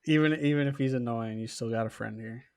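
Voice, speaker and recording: A man's voice: medium pitch, fast, darkish tone, smooth, no room sound, clean studio sound. A young man hosting a podcast in a casual, chummy, slangy interview style. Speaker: podcast host.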